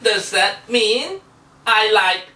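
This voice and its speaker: A woman's voice: medium pitch, expressive, very slow, sharp tone, exaggerated voice. A woman voicing a naive, easily confused young fish puppet, hesitant and full of questions, in a children's show. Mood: hesitant, dismayed. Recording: roomy echo, distant microphone.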